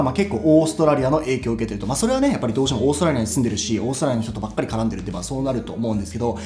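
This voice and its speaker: Japanese host with a light Australian accent, quick and hesitant, echoey room with soft music. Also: male voice, mid-range voice. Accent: slight Australian. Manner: hesitant, searching for words. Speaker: YouTuber interviewing English tutors. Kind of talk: casual chat and vlog outro.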